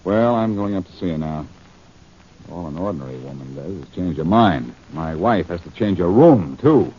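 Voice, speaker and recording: Sardonic detective, lowish voice, animated swings, wisecracking, quick-witted, 1940s radio drama. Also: male voice. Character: wry, quick-witted male amateur detective wrongly accused. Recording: muffled, noisy 1940s radio broadcast.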